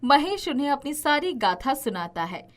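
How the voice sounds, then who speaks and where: A woman's voice: high-pitched, sing-song, moderate pace, a bit bright. A woman narrating a scripted children's story as the narrator.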